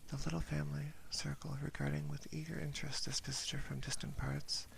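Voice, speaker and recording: A man softly reading a story aloud as ASMR, half-whispering close to a binaural microphone with a breathy voice. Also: fairly high pitch, little pitch movement, brisk, slight background noise. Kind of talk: ASMR reading of a classic horror short story.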